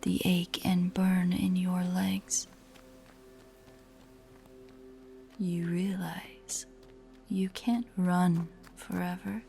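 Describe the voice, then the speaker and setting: A woman playing a predatory, teasing spider-woman monster in a horror audio roleplay, with a breathy, whispery voice and a taunting, menacing manner. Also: on the low side, flat delivery, mellow, soft background music. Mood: taunting, hushed. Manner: slow, taunting, playfully sinister.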